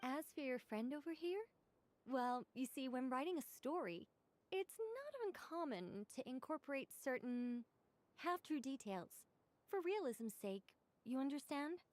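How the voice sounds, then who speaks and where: A woman's voice: sits high, very animated, a little slow, a little mellow. A woman voicing a composed, witty woman author in a video game, speaking articulately with a conversational, lightly teasing manner. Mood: playful, explanatory. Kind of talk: scripted game dialogue.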